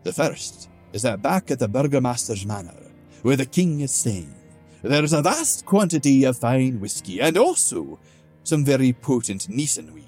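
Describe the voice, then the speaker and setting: A man voicing a calm male dwarf companion who talks gravely, drily and persuasively. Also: medium pitch, moderate pace, soft background music, pitched above the performer's own voice, close to an everyday voice. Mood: dry. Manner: grave, dry and coaxing.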